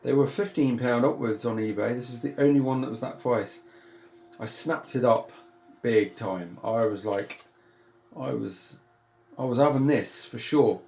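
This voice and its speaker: A man with a British accent and a calm, soothing voice, rambling chattily through a record unboxing with many ums and self-corrections. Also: medium pitch, unhurried, creaky, a little hiss or hum. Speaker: vinyl collector and channel host. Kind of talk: casual unboxing chat.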